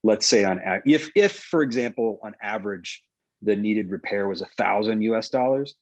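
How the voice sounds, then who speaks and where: A man giving a casual, halting account as a panel guest, thinking aloud. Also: fairly low pitch, no echo, dull audio. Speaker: panel guest, relief worker.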